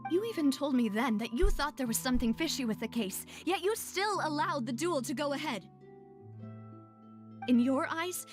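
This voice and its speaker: A woman voicing a proud, grieving young noblewoman in a video game, sharp-tongued and heartfelt. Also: high voice. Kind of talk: English video game voice acting. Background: background music.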